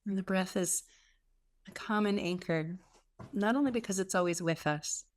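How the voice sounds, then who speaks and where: A woman leading a guided meditation as a teacher, speaking in an unhurried way with gentle pauses between phrases. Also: mid-range voice. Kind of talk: guided meditation, softly instructive.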